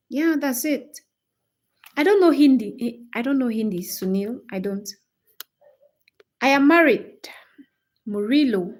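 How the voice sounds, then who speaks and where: Higher-voiced young Cameroonian woman livestreaming. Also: darkish tone. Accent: Cameroonian English. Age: 20s. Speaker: livestream host, English-teaching creator. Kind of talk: casual livestream chat with viewers.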